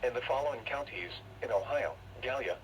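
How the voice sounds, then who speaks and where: A man reading a formal scripted weather bulletin in a measured, impersonal, automated way over a weather radio. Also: mid-range voice, lively intonation, a loud crowd. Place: played through a weather radio speaker. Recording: noisy weather radio broadcast.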